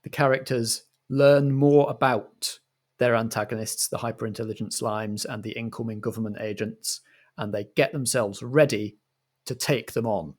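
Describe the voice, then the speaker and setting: A British man with a medium-pitched voice acts as compere and game master. His delivery is measured and theatrical, with a mock-formal touch suited to narrated radio comedy.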